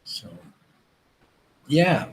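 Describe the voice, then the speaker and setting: A man who grew up in Canada with Dutch as his first language, hosting a casual live stream, rambling and repeating himself as he reads viewers' chat. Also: extremely slow.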